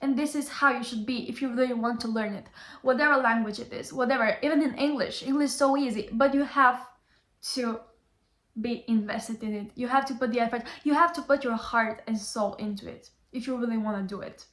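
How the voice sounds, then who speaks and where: A woman's voice: a little high, brisk, fairly bright tone. A young adult woman, a Chinese tutor giving an earnest motivational vlog talk and repeating phrases for emphasis. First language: Bulgarian. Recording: roomy echo.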